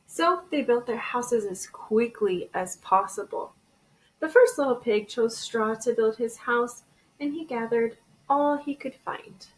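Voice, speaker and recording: High-pitched woman reading a storybook. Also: unhurried, low-quality recording. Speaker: elementary school teacher. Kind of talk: expressive children's storybook read-aloud.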